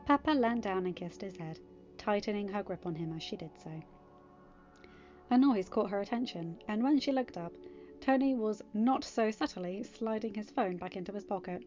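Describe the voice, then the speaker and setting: A woman's voice: medium pitch, moderate pace, a little mellow, muffled recording. A woman reading scripted story narration as an audiobook narrator. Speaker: podfic narrator. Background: music.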